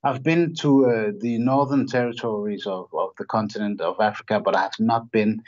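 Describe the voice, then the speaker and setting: A man from Denmark speaks as an interview guest. He answers thoughtfully and hesitantly, with frequent restarts. His voice is fairly low, and the recording sounds dull and lo-fi. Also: moderate pace. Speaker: guest, human rights campaigner.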